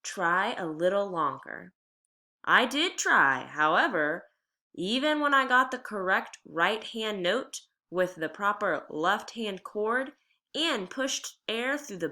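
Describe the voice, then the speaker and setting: A woman reading a children's memoir aloud like a teacher, with expressive, deliberate storytelling delivery. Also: medium pitch.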